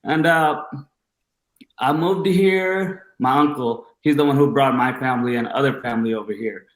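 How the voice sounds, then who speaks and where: A man's voice: medium pitch, moderate pace. Roomy-sounding young man from Bangladesh, casual. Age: 20s. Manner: informal, slangy, enthusiastic. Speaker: podcast guest. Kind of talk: casual personal storytelling.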